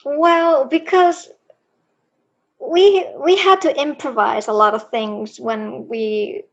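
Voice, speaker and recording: A woman from Iceland speaks with an accent mixing Icelandic, American and European sounds. Her higher voice carries a gravelly fry, and she chats in a relaxed way, laughing often. The online call audio sounds dull and lo-fi. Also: takes it slowly. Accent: mixed Icelandic, American and European. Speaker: guest author. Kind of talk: casual interview chat.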